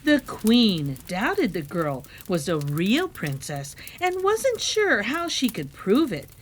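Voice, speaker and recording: A woman's voice: medium pitch, slow pace, slight background noise, poor audio. A woman narrating a children's story expressively, as a read-aloud host with a playful manner. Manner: animated, with playful asides.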